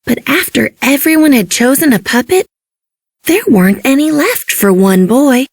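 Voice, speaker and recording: Lady reading a kids book out loud, expressive, bit of creak, really dry close sound. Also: high-pitched, normal speed, no room sound. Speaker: storybook narrator. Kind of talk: expressive scripted children's narration.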